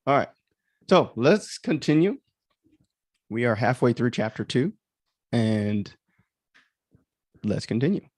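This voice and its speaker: A man's voice: medium pitch, sing-song, slow, long pauses, a little mellow, no echo, studio quality. A man hosting a livestream in casual, rambling chat, commenting on his own audio drama and easily amused.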